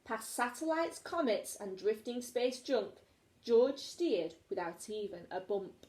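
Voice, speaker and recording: Animated woman reading a children's story. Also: a little high, a little slow. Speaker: teacher. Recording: echoey room.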